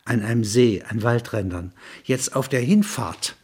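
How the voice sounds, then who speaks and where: A man narrates literary material in the role of reader and commentator. His voice sits at a middling pitch and has a somewhat husky, unpolished grain. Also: a little slow, darkish tone.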